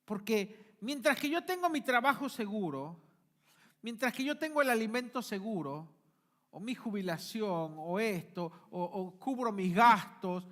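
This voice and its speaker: A male pastor, preaching a sermon emphatically, swinging between forceful peaks and quiet asides. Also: high voice, expressive, a little slow. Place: church.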